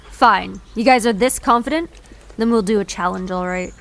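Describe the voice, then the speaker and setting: A woman playing a gruff, dismissive guardian creature in an audio drama, curt and challenging. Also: medium pitch, moderate pace, a bit bright. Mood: grudging, challenging.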